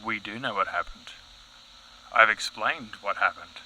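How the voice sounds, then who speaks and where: A man's voice: on the low side, unhurried, bright, forceful tone, slight background noise, low-quality recording. A man playing an exasperated, rational science professor in a mock news interview, speaking firmly. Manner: firm, exasperated.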